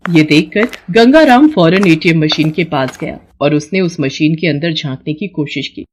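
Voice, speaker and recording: A woman narrating a scripted children's story in an expressive storytelling manner. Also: low-pitched, moderate pace, slight background noise.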